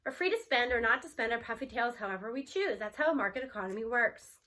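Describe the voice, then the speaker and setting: A woman voicing a mild-mannered male schoolteacher in a children's storybook, speaking in a measured way. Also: on the high side, animated, pushed and bright.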